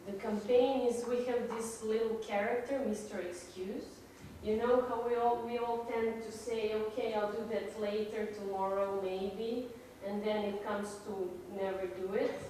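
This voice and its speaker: A woman's voice: mid-range voice, steady tone, unhurried, mellow, recorded from a distance, a little hiss or hum. Serbian woman presenting in an echoey hall. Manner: explaining slides with frequent fillers. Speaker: patient advocacy speaker. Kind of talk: conference presentation.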